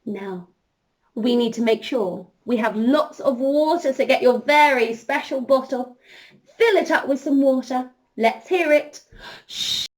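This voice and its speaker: High-voiced, unhurried woman telling children a story. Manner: expressive, encouraging, addressing children directly. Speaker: children's storyteller, princess entertainer.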